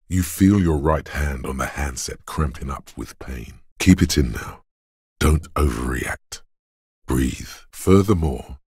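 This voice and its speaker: A grave, stern narrator speaks as the body's inner voice of endurance in a video game. The voice is exceptionally low, full of gravel and very husky. Each line is blunt and deliberate. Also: male voice, a little mellow, no echo, studio quality, full character voice. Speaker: game voice actor. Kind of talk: scripted second-person game narration.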